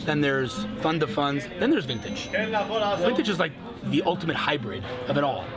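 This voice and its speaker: A man hosting a casual interview in a restaurant, excitable and gushing, often talking over his guest. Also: on the high side, moderate pace. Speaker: vlog host interviewing guests. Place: busy restaurant. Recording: noisy restaurant with loud music. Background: loud music and crowd chatter.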